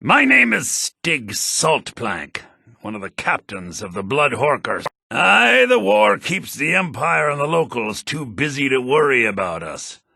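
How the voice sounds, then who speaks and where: An actor playing a brash, boastful pirate captain in a fantasy video game, with roguish swagger. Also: male voice, medium pitch, sing-song, measured pace, vocal fry, sharp tone, slightly rough, no room sound, clean studio sound, full character voice. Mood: boastful, sly. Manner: swaggering, salty seafarer talk.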